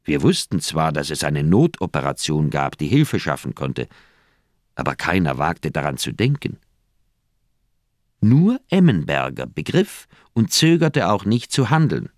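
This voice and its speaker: Radio drama: grave older male doctor, very low voice, close dry sound, deliberate delivery of a haunted recollection. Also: very animated, no echo, full character voice. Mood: grim, intense. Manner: measured, deliberate, literary phrasing with dramatic pauses. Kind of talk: German radio play monologue, recollection.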